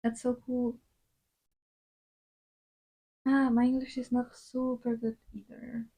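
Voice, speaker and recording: A woman's voice: on the high side, flat delivery, slow pace, mellow, poor audio. A young woman from Latin America, chatting casually on a drawing livestream and rambling with many fillers and restarts. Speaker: streamer.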